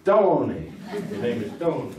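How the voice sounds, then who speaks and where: Man acting as joke-cracking quiz host, teasing patter, lively pitch, distant stage mic. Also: moderate pace, noisy background, close to an everyday voice. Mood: joking. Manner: jokey, teasing, showman patter. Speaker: game show host. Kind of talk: old-time radio stage acting. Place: theater stage. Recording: roomy live theater recording from afar.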